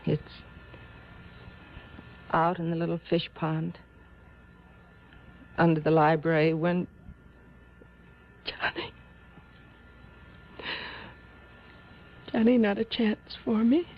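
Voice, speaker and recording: A woman's voice: on the low side, fairly even intonation, slow, long pauses. An actress playing a scheming young woman in 1950s radio drama style. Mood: defeated, pleading. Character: scheming young woman feigning relief, then pleading. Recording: muffled, faintly noisy vintage radio recording.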